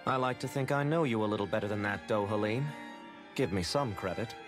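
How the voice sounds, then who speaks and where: A man's voice: fairly low pitch, a little fast, music in the background, natural voice. An actor playing a considerate, loyal young man, casual and reassuring, in a dramatic video game. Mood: apologetic, reassuring.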